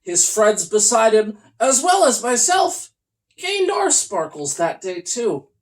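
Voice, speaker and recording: A host reading a comic children's storybook aloud in a theatrical, mock-earnest way with deliberate pauses. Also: medium pitch, big pitch swings.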